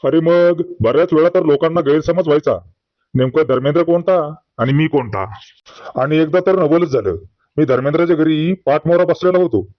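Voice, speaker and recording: Man playing a high-pitched boastful old village man. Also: normal speed, no room sound, lo-fi sound, natural voice. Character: boastful old village man, grieving, exasperated, telling tall tales. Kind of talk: comedy cartoon dialogue.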